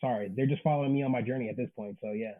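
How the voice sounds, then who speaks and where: A man's voice: mid-range voice, rapid-fire, phone-quality sound. A man in his twenties chatting as an interview guest, earnest and slangy. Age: 20s. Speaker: interview guest, manga creator. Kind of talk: casual interview chat.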